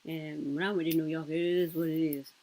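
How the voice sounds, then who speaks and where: A woman's voice: sits low, flat delivery, fairly quick, dark tone. A woman with a Southern US accent giving casual reaction commentary in a rambling, colloquial way.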